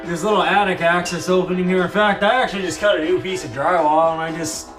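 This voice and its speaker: Higher-voiced young man giving a casual walkthrough. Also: steady tone, fast, a bit bright, soft background music. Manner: informal, rambling, addresses viewers as guys. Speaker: hobbyist video host. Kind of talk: casual show-and-tell walkthrough.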